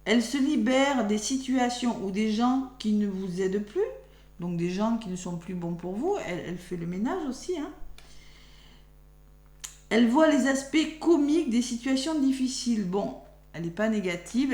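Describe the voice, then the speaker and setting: A French woman with a nearly lost voice, speaking softly as she gives a chatty weekly tarot reading for her followers. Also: a little high, animated, normal speed. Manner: chatty, thinks aloud while drawing cards. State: losing her voice, talking softly. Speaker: tarot card reader. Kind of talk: weekly astrology card reading. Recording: echoey room with faint hum.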